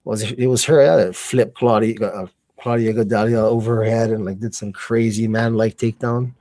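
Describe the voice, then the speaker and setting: A man giving casual, slangy live fight commentary, thinking aloud in a halting way. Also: lowish voice, fairly even intonation, dark tone, no room sound. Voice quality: slangy, informal speech.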